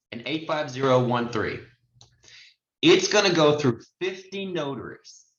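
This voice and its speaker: A man's voice: mid-range voice, a bit bright. A man giving an informal online training lesson, explaining step by step in an emphatic, conversational way as a trainer. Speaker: notary trainer and host. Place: indoors, online video call with screen share. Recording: muffled, roomy video-call audio.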